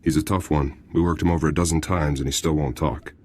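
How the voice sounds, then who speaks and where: An actor playing a deferential, chatty military prison guard in a video game, with a folksy, informal manner. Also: male voice, extremely low pitch, fairly even intonation, fast talker, darkish tone, breathy edge. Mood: apologetic, chatty.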